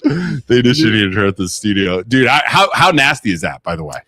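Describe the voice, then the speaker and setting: A man with a mid-pitched voice, lively and expressive, swearing casually as he retells stories to his co-hosts. The sound is close and dry, as in a small studio. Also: brisk, dry recording. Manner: blunt, profane, storytelling. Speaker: podcast co-host. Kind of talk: casual chat.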